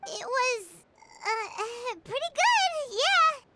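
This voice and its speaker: A woman voicing a small, excitable, childlike video game companion who is earnest and exclamatory. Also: extremely high pitch, slow, heavy background noise, exaggerated voice. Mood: flustered, awkward. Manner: exclamatory, stammering when flustered. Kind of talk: animated video game character acting.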